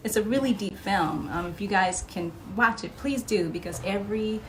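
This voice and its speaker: A woman's voice: mid-range voice, moderate pace, a little hiss or hum, low-quality recording. A middle-aged woman chatting casually as an interview guest, telling anecdotes and quoting remembered dialogue.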